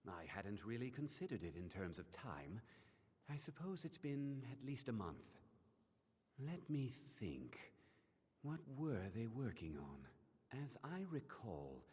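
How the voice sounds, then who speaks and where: An actor playing a measured, reflective male scholar mage in a fantasy video game, explaining things thoughtfully. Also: lowish voice, very animated, darkish tone, slightly rough, exaggerated voice. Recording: long reverberant hall echo.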